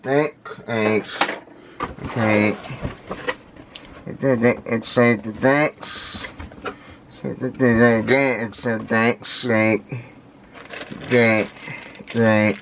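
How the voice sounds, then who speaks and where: Slow, halting man over clear background noise. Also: medium pitch. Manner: halting, repeats words often, indistinct speech. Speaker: vlogger unboxing audio gear. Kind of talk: casual unscripted unboxing talk.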